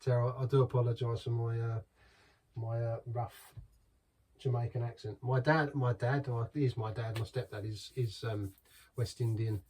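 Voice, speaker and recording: A man's voice: medium pitch, fairly even intonation, moderate pace, dark tone. A middle-aged man chatting casually through a beer review, rambling and restarting as he talks. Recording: voice from some distance from the mic.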